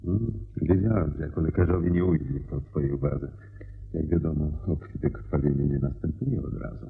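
An astute, courteous detective in a radio play. His voice sits very low with a creaky grain, and he reasons in a measured, inquisitive way. The recording is dull and muffled. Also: lively intonation, full character voice. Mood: pensive. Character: astute, courteous male private detective questioning a client.

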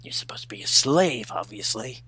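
Brooding cartoon hedgehog rival, played by a man: condescending, rasping and breathy, bright edge, curt. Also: on the low side, expressive, moderate pace, full character voice. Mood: irritated. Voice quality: husky, breathy.

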